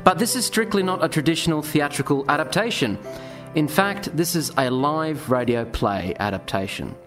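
A man's voice: a little high, animated, moderate pace, music in the background. A man hosting a podcast, introducing guests and chatting with them in an interview style.

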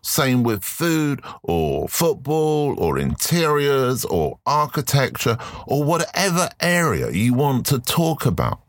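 Male podcast host, voice deepened by illness, lively pitch, dry close sound, reflective and measured. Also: no echo. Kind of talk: solo podcast monologue.

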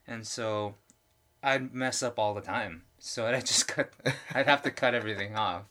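A man's voice: lowish voice, moderate pace, bright, forceful tone. A man in his forties chatting casually as a podcast host, rambling and laughing often. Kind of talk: casual chat.

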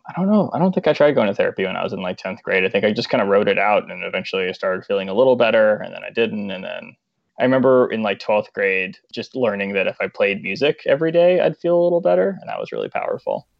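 A man with a lowish, gravelly voice talks haltingly, often stopping to hunt for words. The recording is dry and a bit dull, like a lo-fi mic close up. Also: very animated, dry recording. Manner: halting, searching for words, fillers. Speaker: podcast guest, musician. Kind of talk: casual interview chat.

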